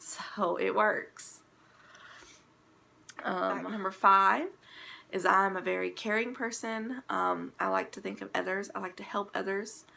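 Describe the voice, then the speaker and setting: Chatty congested woman with gravelly edge. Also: mid-range voice, steady tone, unhurried, bright, forceful tone, a little hiss or hum. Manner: chatty, rambling with frequent ums. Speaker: vlogger. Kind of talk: casual talking-to-camera vlog. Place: her daughter's bedroom at home.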